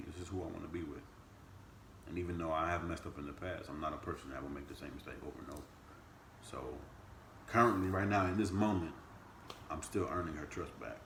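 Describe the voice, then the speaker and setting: This is a man in his thirties with a very low voice, co-hosting a relaxed podcast with his partner. He talks in a loose, animated way and jumps high in pitch when he teases. The room is echoey, with a faint hum behind him. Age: 30s. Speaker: podcast co-host. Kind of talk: casual couple chat.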